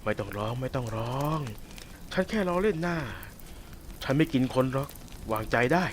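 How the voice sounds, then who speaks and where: A man voicing a sadistic, possessive werewolf who taunts a captive listener in a roleplay audio drama. He speaks softly and teasingly, with a menacing edge. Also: medium pitch, animated, moderate pace, slight background noise, close to an everyday voice. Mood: taunting.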